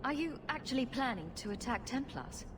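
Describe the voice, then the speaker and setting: An actress playing a quick-witted, sarcastic rogue adventurer in a video game, cool-headed and glib. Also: female voice, high-pitched, animated, background noise. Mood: persuasive. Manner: wry, glib. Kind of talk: dramatic game dialogue. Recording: music behind the voice.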